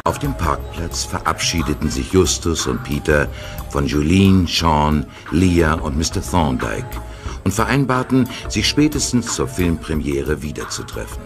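A man narrating a radio play in a measured, dramatic way. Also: extremely low pitch, animated, over music. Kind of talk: scripted narration.

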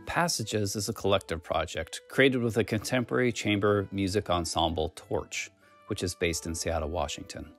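Deep-voiced man over soft music. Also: moderate pace. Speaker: exhibiting artist. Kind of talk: reading a prepared artist statement.

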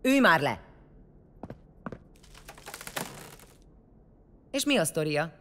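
Mean sardonic female gang leader, low voice, really animated, audio drama. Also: in a large hall, a little hiss or hum. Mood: brusque. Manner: mocking, commanding, foul-mouthed. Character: vengeful, bloodthirsty young woman warrior leader, sardonic.

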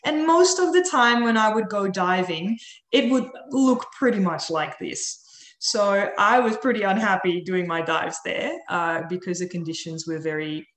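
A woman's voice: fairly low pitch, fairly bright tone, roomy sound. A woman with a Dutch accent, a marine biologist giving a friendly online presentation over a video call. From Netherlands. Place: home room on video call. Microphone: video call audio.

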